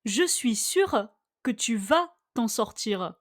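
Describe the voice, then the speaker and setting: A woman with a high voice reads scripted lines for a language lesson. She goes very slowly, phrasing each line with care so that learners can repeat it. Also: expressive. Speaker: language teacher. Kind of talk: scripted dialogue read slowly for listen-and-repeat practice.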